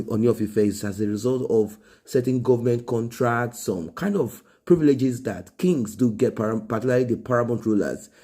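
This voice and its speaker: A man's voice: mid-range voice, dark tone. A man with a Nigerian accent hosting an informal gossip commentary, explaining conversationally and repeating phrases for emphasis. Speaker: gossip show host.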